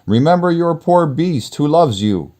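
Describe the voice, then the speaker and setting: A man's voice: on the high side, animated, measured pace, dark tone, pitched above the performer's own voice. A man voicing a monstrous fairy-tale beast, menacing yet lovelorn, with grand, emphatic delivery. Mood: sorrowful pleading.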